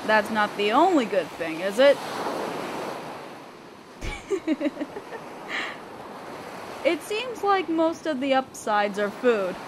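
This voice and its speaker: A young female selkie, acted by a woman in a fantasy audio roleplay, with a fairly high and very animated voice. She sounds guarded and teasing, with a hesitant curiosity under the aloofness. Surf and wind are clearly heard behind her. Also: slow pace. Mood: curious. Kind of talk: scripted roleplay audio drama addressed to the listener. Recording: ocean waves and wind behind voice. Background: surf, waves and wind sound effects.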